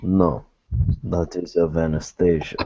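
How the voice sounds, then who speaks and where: A man speaking in character in a tabletop roleplay, with formal, measured wording, on muffled low-fidelity audio. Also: flat delivery, dark tone. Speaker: game master playing a character in a tabletop roleplay.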